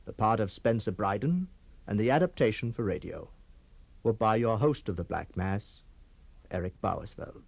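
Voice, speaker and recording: Male radio host, low creaky voice, dry close studio sound, measured, solemn, formal announcement. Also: lively intonation, no room sound. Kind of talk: formal scripted closing credits announcement.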